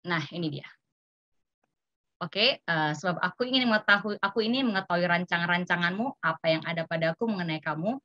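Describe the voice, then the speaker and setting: A woman's voice: lowish voice, brisk, no room sound, muffled recording. A woman presenting at an informal seminar, explaining conversationally and acting out example remarks. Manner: illustrative, acts out example remarks, checks in with "ya". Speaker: seminar speaker. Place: online video meeting.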